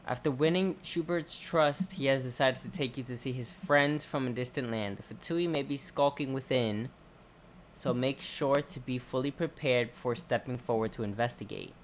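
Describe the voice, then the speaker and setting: A man's voice: mid-range voice, moderate pace, a little hiss or hum. A man hosting a game playthrough, reading dialogue aloud and commenting as he plays. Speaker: gaming video host. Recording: faint game sound behind voice. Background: game audio and ambience.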